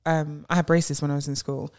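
A woman's voice: deep voice, little pitch movement, fairly quick, a little mellow. A woman speaking as a podcast guest in casual chat, with playful interjections.

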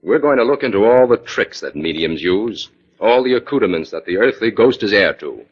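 A man acting in 1940s radio style as a calm, authoritative scientist detective, measured and dryly skeptical. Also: fairly low pitch, breathy edge. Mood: calm, purposeful. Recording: dull vintage 1940s radio recording.

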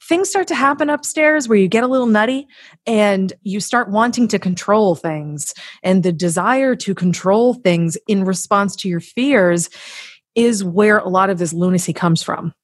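A woman's voice: medium pitch, dry recording, clean studio sound. A woman speaking as a podcast guest, giving conversational motivational advice with acted-out examples in an emphatic, blunt, casually sweary manner. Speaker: podcast guest, author and coach.

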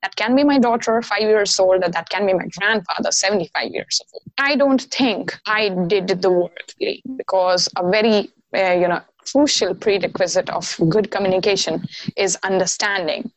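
A woman's voice: medium pitch, normal speed, no echo, poor audio. A woman from Bihar with an Indian English accent and Maithili as her first language, speaking earnestly as a podcast guest with many "you know" fillers. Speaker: guest, diversity researcher. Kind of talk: casual podcast conversation.

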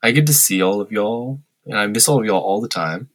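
Man, low voice, husky, reflective, wry, blunt at times, interview talk. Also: a little fast, a bit bright. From Korea. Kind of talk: candid conversational interview. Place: lives in Tokyo.

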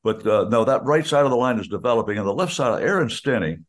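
A man's voice: mid-range voice, animated, fast talker. An older man, a radio play-by-play announcer, chatting about football in a folksy, rambling way with many restarts. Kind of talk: rambling radio sports chat.

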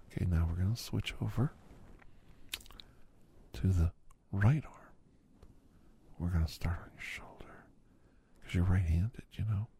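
Low gravelly whispering man. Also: slight background noise. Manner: soft, soothing, reassuring whisper addressed to listener. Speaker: ASMR roleplay massage therapist. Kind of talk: whispered ASMR roleplay.

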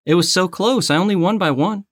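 A man co-hosting a podcast in casual, playful banter, with an excitable, mock-dramatic streak. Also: high voice, brisk, no room sound, clean studio sound. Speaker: podcast co-host and trivia game player.

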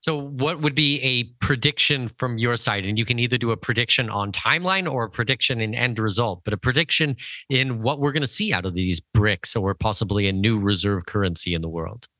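Man, mid-pitched, bright clear-cut tone, dry close sound, conversational podcast host. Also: brisk, no echo. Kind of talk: conversational interview.

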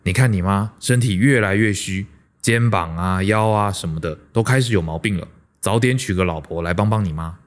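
A man's voice: fairly low pitch, moderate pace, lightly acted. An actor with a Taiwanese Mandarin accent playing an insistent, pragmatic older father who meddles, in a radio drama. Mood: nagging.

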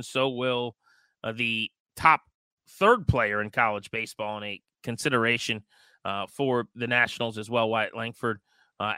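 A man's voice: medium pitch, bright, forceful tone, smooth, dry recording. A man hosting a sports radio show in a casual, conversational talk style.

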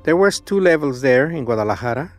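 Halting man recalling in an interview. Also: medium pitch, animated, soft background music. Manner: halting, many fillers and restarts. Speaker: interviewee, former church member. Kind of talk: casual interview answers.

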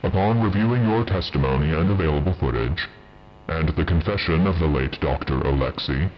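An actor playing a cold, stern overseer in an audio drama, speaking in a formal, measured, authoritative way. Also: male voice, a bass voice, dull audio, a little hiss or hum. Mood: cold, official. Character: cold, stern male overseer passing sentence.

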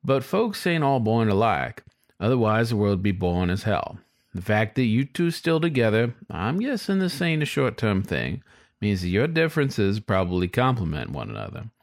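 A man voicing a folksy, philosophical old male coyote cab driver in an audiobook story, giving unhurried advice. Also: lowish voice, sing-song, dry recording, studio quality. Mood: sage, reassuring.

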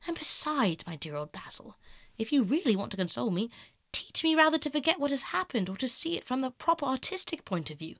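Woman as vain, animated young gentleman. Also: high voice, a little fast, no echo, studio quality, pitched above the performer's own voice, exaggerated voice. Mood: blithe, self-absorbed. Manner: theatrical, airy, emphatic. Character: vain, callous young aristocratic man, dramatic and self-absorbed. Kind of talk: audiobook character acting.

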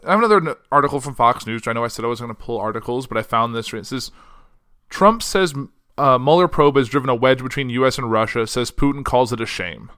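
A man's voice: medium pitch, lively intonation, fast, pushed and bright, clear, resonant tone. A young man hosting a solo news podcast, reading articles aloud and then chatting casually, his voice getting worn. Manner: reads quotes then chats casually with fillers. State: losing his voice.

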